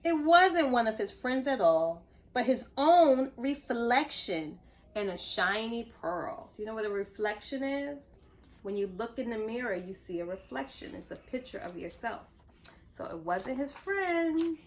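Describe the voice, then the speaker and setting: A woman reading a story aloud to young children, expressive, pausing to explain things and ask simple questions. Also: a little high, slight background noise. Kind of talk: animated read-aloud narration.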